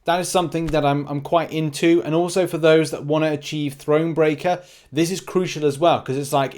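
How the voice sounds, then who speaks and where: A man's voice: fairly high pitch, a little mellow. A man hosting a gaming video, talking through game news in a casual, unscripted way, rambling and thinking aloud in short asides.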